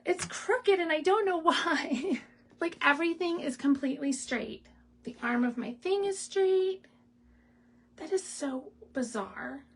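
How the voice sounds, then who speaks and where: A woman hosting a live stamping demo, raspy and clearing her throat from asthma, chatting in a rambling way with laughs and asides. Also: sits high, expressive, unhurried. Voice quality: gravelly, husky. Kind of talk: casual live craft demo chat.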